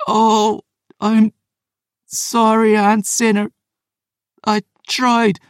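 A man voicing a gravely wounded, defiant woman warrior with a Scottish accent, halting and short of breath in an audiobook. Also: high-pitched, monotone, dry recording, clean studio sound, pitched above the performer's own voice. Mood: agonised, sorrowful. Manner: halting, broken by pain. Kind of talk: audiobook character voice.

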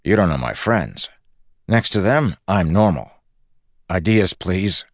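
Audiobook rogue, read by a male narrator: cocky, determined crew boss, brisk and insistent, wry edge, very dry recording. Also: low voice, very animated, no room sound, exaggerated voice. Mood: insistent, teasing. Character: cocky, determined, irreverent dead man, crew leader.

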